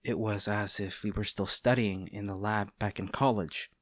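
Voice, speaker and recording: A man playing a smug, taunting agent facing a former childhood friend, speaking in a measured, theatrical way in a scripted audio roleplay. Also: lowish voice, steady tone, a bit bright, no echo. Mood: bitter, wistful. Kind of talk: dramatic scripted roleplay monologue.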